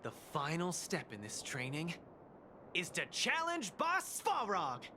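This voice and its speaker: A man voicing a cocky, upbeat young cage fighter in a video game, boastful, excitable and easygoing. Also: sits high, measured pace, slight background noise, exaggerated voice. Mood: cheerful, breezy. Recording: loud game music behind. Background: loud game music.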